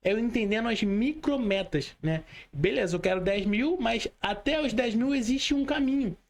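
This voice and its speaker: A woman's voice: on the high side, normal speed, darkish tone. A woman with a Brazilian accent hosting a podcast, explaining things conversationally and didactically, posing questions and answering them herself. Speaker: podcast host and trainer. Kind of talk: conversational teaching, interview.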